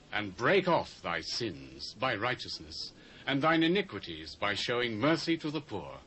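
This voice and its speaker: An earnest prophet gives reverent counsel in a scriptural audio drama. The voice is forceful and bright, rising and falling widely in fervent declamation, over faint tape hiss. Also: male voice, medium pitch, low-quality recording. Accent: British. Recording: faint hiss of old cassette.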